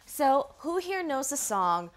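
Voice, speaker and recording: A woman teacher leading a primary class with encouraging, animated questions and read-aloud lines, recorded in a classroom. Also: high voice, slow pace, soft background music. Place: classroom with young children.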